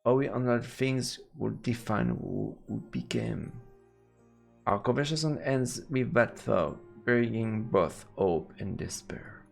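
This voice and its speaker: A man with a gravelly voice doing casual let's play commentary and reading game text aloud as he streams. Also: fairly low pitch, very animated, slow, a little mellow. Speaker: streamer playing a visual novel. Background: game music.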